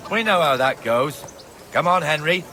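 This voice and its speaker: A man's voice: fairly high pitch, expressive, normal speed, bright, forceful tone, slight background noise. A man voicing a blunt, bossy town watchman in a medieval video game, with a chatty, hectoring manner. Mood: wry, pressing. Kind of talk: dramatic game cutscene dialogue.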